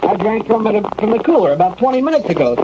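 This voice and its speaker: Worried radio engineer, muffled recording. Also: male voice, sits high, big pitch swings, quick pace. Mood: concerned. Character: loyal, worried radio engineer, plain-spoken colleague. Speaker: radio station engineer in a drama. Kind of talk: 1970s pseudo-documentary radio drama acting. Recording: muffled, noisy old 1970s radio recording.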